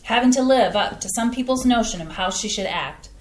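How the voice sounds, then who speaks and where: A chatty, earnest middle-aged mother, read by a woman in a staged reading. Her voice sits fairly high and bounces around a lot. The room is echoey. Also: a little fast, a little hiss or hum, lightly acted. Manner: lively, earnest.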